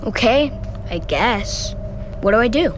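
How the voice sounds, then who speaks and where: A high, innocent little boy's voice, anxious and full of questions. It is audio drama dialogue with faint ambient noise behind it. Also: animated, unhurried. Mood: unsure, plaintive. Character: small, wide-eyed boy, anxious and inquisitive.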